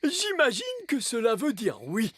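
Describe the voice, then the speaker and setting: A man's voice: very high-pitched, huge pitch swings, moderate pace, slightly rough, heavily acted. A man voicing a jolly, oblivious gnome who talks and sings cheerfully in a children's cartoon. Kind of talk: children's cartoon acting with bursts of song. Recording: loud music behind the voice.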